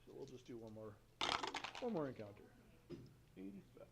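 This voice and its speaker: A man running a tabletop game as dungeon master, easygoing and quick to laugh, describing scenes loosely. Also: mid-range voice, sing-song, creaky, very noisy.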